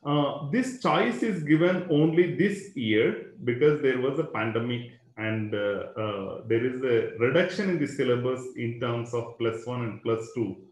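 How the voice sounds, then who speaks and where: Man, mid pitch, webinar explainer, fillers and "right?", echoey room. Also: animated, measured pace, darkish tone. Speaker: school educator hosting a webinar. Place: room at a computer, online webinar. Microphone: computer microphone.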